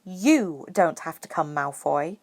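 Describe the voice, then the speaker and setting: A woman voicing a studious, excitable, bossy schoolgirl in an audiobook, with a bright and emphatic manner. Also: sits low, takes it slowly, gravelly, dry recording, exaggerated voice. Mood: snide.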